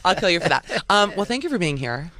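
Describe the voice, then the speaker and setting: A woman's voice: fairly low pitch, very animated, fast, a bit bright. A woman hosting a radio interview in a studio, teasing and joking with her guest. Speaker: radio host.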